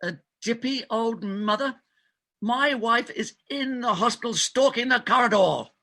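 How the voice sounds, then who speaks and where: A man's voice: very high-pitched, measured pace, sharp tone, full character voice. A man playing a desperate, insistent married doctor who cajoles a colleague in a stage farce. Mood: pressing, exasperated. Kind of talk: stage farce readers theater acting.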